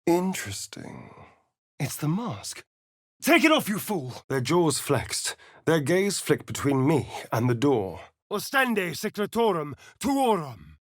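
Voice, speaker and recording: A man's voice: a little high, very animated, slow, dry recording, studio quality, exaggerated voice. A man with a British accent playing a sly, vain vampire spawn rogue in a video game, with an arch, sardonic, theatrical delivery. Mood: theatrical, playful, then forceful incantation.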